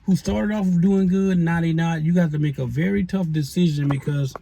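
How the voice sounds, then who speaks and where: Gravelly, husky man giving advice. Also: on the high side, fairly even intonation, moderate pace, mellow. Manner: folksy and preachy, addresses the audience as y'all. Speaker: co-host of a relationship advice show. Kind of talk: casual advice chat to viewers.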